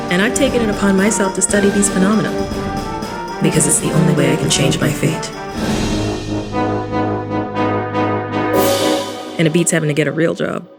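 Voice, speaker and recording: A woman's voice: on the low side, natural voice. A woman playing a wry, irreverent adventurer, narrating her story in the first person in a casual, sardonic way for an audio drama. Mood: dramatic, wry. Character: wry, irreverent female adventurer and occult investigator.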